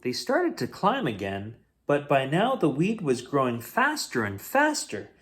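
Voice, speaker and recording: A man reading a children's picture book aloud as a bedtime story host, in an expressive, dramatic way. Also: a little high, measured pace.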